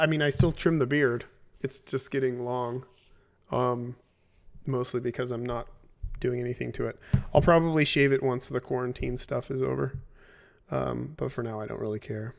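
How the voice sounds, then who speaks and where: A man in his forties chatting loosely through fan questions, his voice gravelly and flat in tone. He wanders and restarts, leaning on fillers. The audio is tinny, like a phone line. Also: mid-range voice, measured pace. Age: 40s. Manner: rambling, hesitant with many fillers and restarts. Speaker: game developer answering fan questions. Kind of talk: casual livestream Q&A.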